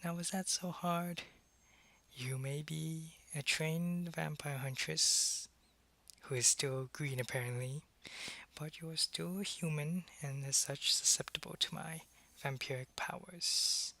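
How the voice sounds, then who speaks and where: A man playing a suave, possessive, centuries-old vampire in an ASMR roleplay, taunting and condescending, often in a breathy half-whisper. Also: fairly high pitch, fairly even intonation, bright, forceful tone, full character voice. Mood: coercive, gloating. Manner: slow, deliberate, taunting, condescending.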